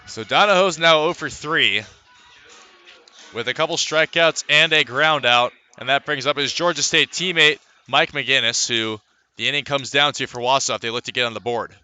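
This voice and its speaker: A man's voice: mid-range voice, moderate pace, bright, forceful tone, smooth, faint music behind. A man calling live baseball play-by-play for radio, in clipped phrases with dramatic calls.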